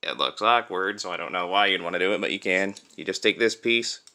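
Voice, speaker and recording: A man's voice: low voice, a little fast, bright, forceful tone. A man giving a casual hands-on demonstration as a toy reviewer, explaining things step by step.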